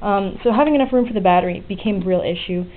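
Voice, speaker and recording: A woman's voice: mid-range voice, racing. A woman giving a casual, unscripted hands-on demonstration, explaining things in an informal and frank way. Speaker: DIY electronics hobbyist presenting a tutorial. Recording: muffled phone-like lo-fi audio with faint noise.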